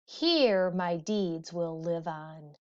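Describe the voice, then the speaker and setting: A woman's voice: fairly low pitch, expressive, extremely slow. Woman reading as a grieving, earnest hero king. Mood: reflective. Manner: dramatic, earnest declaiming. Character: grieving, weary male hero king of ancient legend. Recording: dull, muffled lo-fi audio.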